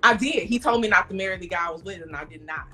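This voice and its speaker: Woman, bright voice, earnest caller talk, faint music behind. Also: mid-range voice, fast. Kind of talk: call-in conversation.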